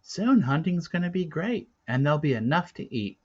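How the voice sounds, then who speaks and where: Gentle, earnest cave boy, played by a male reader, storybook tale, lifted pitch, muffled recording. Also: sing-song, moderate pace. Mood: hopeful. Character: earnest, gentle young cave boy hunter with bad foot.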